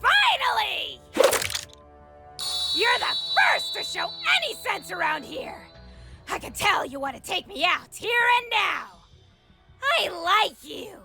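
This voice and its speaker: A woman's voice: extremely high pitch, big pitch swings, slow pace, gravelly, pauses often, music in the background, heavily acted. A woman voicing a brash, cocky young female cartoon troublemaker with a mocking, swaggering manner, in a comic dub. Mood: smug taunting. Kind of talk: animated comic dub acting.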